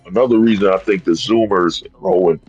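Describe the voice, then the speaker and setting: A man's voice: deep voice, brisk, a little mellow, husky, highs cut off, soft background music. A man in his thirties hosting a livestream, talking in a rambling, casual rant and dropping "bro" and "you know what I'm saying" often. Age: 30s. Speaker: streamer host.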